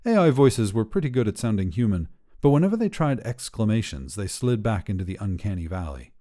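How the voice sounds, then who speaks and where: A man reading short fiction as a podcast narrator, in a measured, careful way. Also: on the low side, very animated, warm tone.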